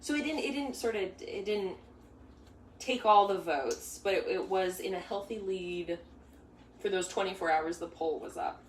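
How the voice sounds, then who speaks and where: A woman's voice: mid-range voice, normal speed. A young woman hosting a live bake-along, talking casually while she stirs a pot, with lots of restarts and side stories. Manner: chatty, rambling, with many restarts and asides. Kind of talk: casual live cooking demo. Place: home kitchen. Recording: echoey kitchen, mic far off, faint hum.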